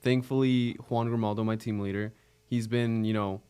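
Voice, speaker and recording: A nineteen-year-old man from Arizona with an American accent, chatting casually as an interview guest in an earnest, halting way. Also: on the low side, steady tone, a little mellow, clear, resonant tone. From Arizona, United States. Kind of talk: casual chat.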